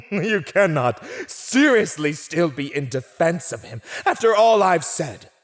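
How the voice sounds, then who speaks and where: Slow, silver-tongued teasing villain. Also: male voice, high voice, big pitch swings, exaggerated voice. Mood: amused, taunting. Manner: teasing, mocking, theatrical, drawn-out delivery with chuckles. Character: silver-tongued male villain warlord taunting a captive. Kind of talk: ASMR roleplay, scripted villain monologue.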